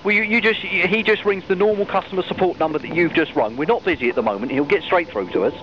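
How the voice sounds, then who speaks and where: A man working as a customer support agent on a helpline call, stammering and repeating himself as he earnestly reassures the caller. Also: fast talker. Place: open-plan office, on the phone. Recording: muffled, noisy low-quality phone recording.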